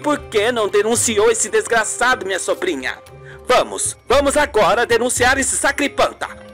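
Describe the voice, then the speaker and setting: A woman's voice: on the high side, lively intonation, normal speed, fairly bright tone, soft background music. A woman voicing an outspoken, indignant and protective spinster aunt in a radio soap.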